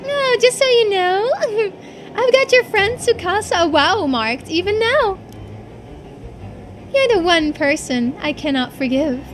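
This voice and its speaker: A woman's voice: very high-pitched, expressive, slow, slight background noise, pitched above the performer's own voice, full character voice. A woman voicing a bitter, menacing young villainess, gloating and unhinged, in a visual novel read-through.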